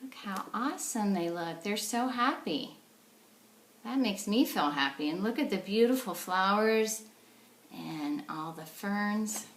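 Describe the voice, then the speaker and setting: A woman's voice: mid-range voice, animated, unhurried, roomy sound. A woman reading a storybook aloud to young children as their teacher, explaining things simply and checking in with questions.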